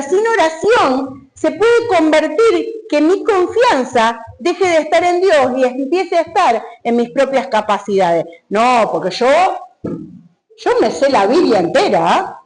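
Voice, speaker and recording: A woman's voice: high-pitched, expressive, slow, poor audio. A woman preaching a sermon, emphatic, and putting questions straight to the congregation.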